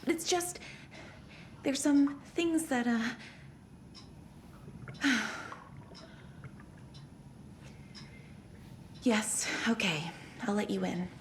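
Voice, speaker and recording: A woman playing a flustered, devoted superfan in a video game, prim, folksy and halting. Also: fairly high pitch, sing-song, a little hiss or hum. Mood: nervous, reluctant. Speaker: supporting character in a video game. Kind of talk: cinematic game acting.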